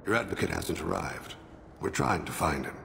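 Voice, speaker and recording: An actor voicing a solemn male alien assassin in a video game, with measured, formal and poetic diction. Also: low-pitched, lively intonation, creaky, bright, forceful tone, a little hiss or hum, heavily acted. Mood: calm, measured. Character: soft-spoken, solemn male alien assassin, remorseful father.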